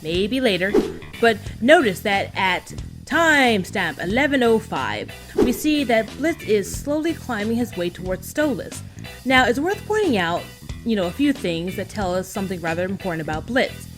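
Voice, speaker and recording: A giggly woman on a fan recap video, chatting away like she's telling friends about an episode, loud music under her. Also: medium pitch, very animated, normal speed. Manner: casual and conversational, giggly.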